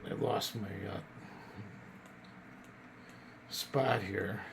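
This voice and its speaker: A man casually presenting an old radio as a collector, partly reading from notes in a halting way and stumbling over words. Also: lowish voice, vocal fry, background noise.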